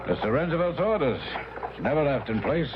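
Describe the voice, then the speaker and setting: A man acting a stiff, dour servant in a radio drama, formal, curt and deadpan. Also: fairly high pitch, a little fast. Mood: dour, guarded. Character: stiff, dour male servant tending the bridge. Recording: dull, noisy radio recording.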